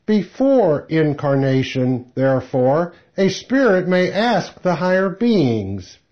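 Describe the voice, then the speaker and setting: A man reading a scripted spiritual lecture in a measured, deliberate, solemn manner. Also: a little high, sing-song. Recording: slightly dull lo-fi recording.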